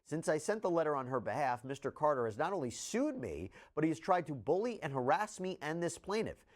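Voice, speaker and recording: A man's voice: on the high side, sing-song, brisk. A man delivering emphatic, explanatory legal news analysis, like a commentator.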